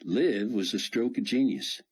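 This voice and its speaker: A man's voice: fairly low pitch, unhurried, breathy edge, voice pushed up. A man voicing a brash, joking male smuggler pilot with a folksy Southern US drawl. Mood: bantering.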